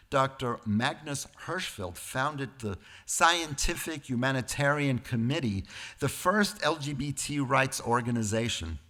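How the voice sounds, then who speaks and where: Man giving a deliberate, wry church talk. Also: mid-range voice. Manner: deliberate, phrase by phrase, with wry asides. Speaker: guest speaker at a church service. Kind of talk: prepared lecture-style sermon with informal asides.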